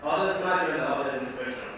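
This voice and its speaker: A man gives a prepared motivational speech to students from the stage of a big school hall. He is picked up from far back in the seats, so his medium-pitched voice is washed in heavy reverberation. Clear background noise runs behind him. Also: racing. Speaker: keynote speaker at a school career day. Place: large school auditorium hall, recorded from the audience seats.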